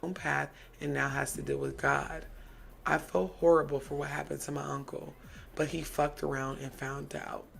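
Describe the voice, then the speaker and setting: American woman, co-host; blunt, gravelly, casual talker with a faint hiss behind her. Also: low-pitched, flat delivery, normal speed. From United States. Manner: blunt, conversational, introducing clips. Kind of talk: livestream commentary.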